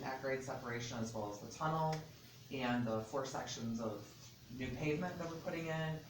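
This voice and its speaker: Man, loud voice, methodical, explaining an agreement, distant mic, roomy echo, noisy meeting room recording. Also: mid-range voice. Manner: methodical, walks through sections point by point. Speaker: state transportation department representative. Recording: echoey hall, distant mic, background noise.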